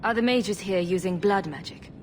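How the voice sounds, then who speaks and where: An actress playing a composed, direct heroic adventurer in a video game, questioning plainly. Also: female voice, medium pitch, moderate pace, a little mellow, slight background noise, close to an everyday voice. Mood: firm, inquiring. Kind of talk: dramatic video game dialogue.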